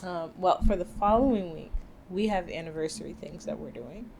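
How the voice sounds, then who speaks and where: A woman's voice: fairly low pitch, moderate pace, gravelly, darkish tone, a little hiss or hum. A woman co-hosting a podcast, chatting casually with lots of fillers and frequent laughter. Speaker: podcast co-host. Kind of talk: casual chat.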